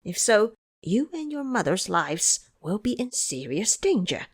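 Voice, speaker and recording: A woman voicing a cocky, quick-witted male monkey disciple in an audio drama, brash and persuasive. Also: mid-range voice, sing-song, husky. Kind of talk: audio drama character acting.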